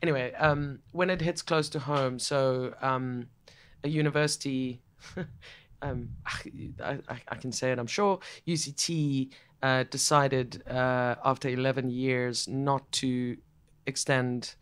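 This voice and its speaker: A woman's voice: extremely low pitch, steady tone, takes it slowly, clear, resonant tone. A middle-aged South African woman hosting a casual interview chat, speaking frankly, swearing now and then and repeating words as she thinks. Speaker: podcast host. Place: room in a coworking space.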